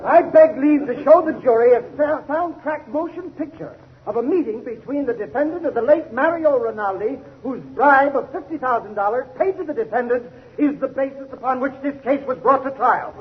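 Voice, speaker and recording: An actor playing a pompous, hard prosecutor, declaiming formally and accusingly in 1930s radio style. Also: male voice, extremely high pitch, moderate pace. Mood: formal, assured. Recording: muffled 1930s radio recording.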